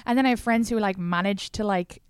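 A woman with an Irish accent hosting a casual, comedic podcast chat, telling stories with quick tangents and asides. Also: medium pitch, clean tone, no echo, studio quality. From Ireland. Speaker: podcast host.

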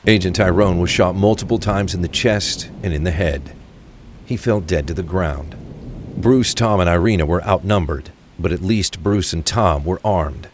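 A man's voice: low-pitched, normal speed. A man reading scripted narration for a crime thriller audio drama. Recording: faint rain and traffic ambience. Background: rain, vehicle sounds.

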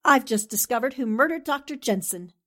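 Woman acting animated, commanding newspaperwoman sleuth. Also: a little high, a little fast. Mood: excited. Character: brisk, sharp, determined newspaperwoman sleuth. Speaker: actor in an amateur radio drama re-creation. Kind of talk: 1940s radio acting.

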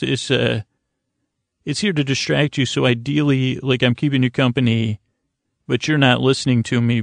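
A man with a creaky, gravelly voice hosting a bedtime podcast in a rambling, halting monologue, often interrupting and restarting himself. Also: medium pitch, dry recording, clean studio sound. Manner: halting, meandering, self-interrupting with many restarts. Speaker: podcast host. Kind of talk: rambling bedtime monologue to keep listeners company.

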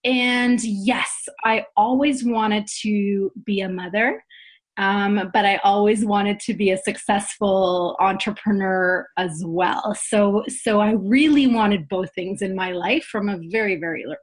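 A woman in her thirties speaks earnestly as a podcast guest, circling back and restarting her phrases as she goes. Her voice sits at a middle pitch and is conversational. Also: measured pace. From Poland, raised in Canada. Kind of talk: casual interview chat.

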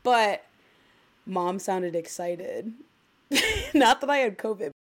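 A woman's voice: mid-range voice, lively intonation, slow. A woman co-hosting a podcast, talking in a casual, chatty way full of fillers, a little congested as she recovers from illness. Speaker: podcast co-host. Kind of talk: casual chat.

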